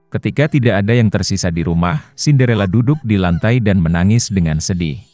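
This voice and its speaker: A man's voice: lowish voice, lively intonation, brisk, a little mellow. A man reading a scripted fairy tale as a storyteller for children, with music behind him. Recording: roomy echo under faint music. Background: faint background music.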